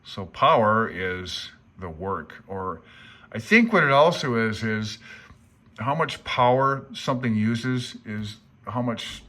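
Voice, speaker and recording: A man's voice: on the low side, animated, slow, sharp tone. A man hosting a casual hobbyist vlog, explaining things off the cuff in a rambling, folksy, self-deprecating and unsure way. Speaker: amateur radio hobbyist video host.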